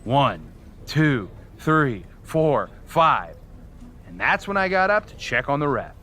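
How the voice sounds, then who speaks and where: A man's voice: mid-range voice, sing-song, slow pace, bright, forceful tone, full character voice. A man playing a proud, self-assured wrestling rival in a video game, speaking firmly. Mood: proud, challenging. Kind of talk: dramatic video game dialogue. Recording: faint background noise.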